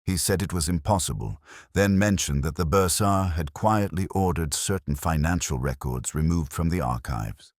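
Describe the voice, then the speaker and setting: A man playing a weary, introspective police detective, narrating a crime drama in the first person with reflective, literary, measured phrasing. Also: low voice, no echo, clean studio sound. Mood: brooding, reflective.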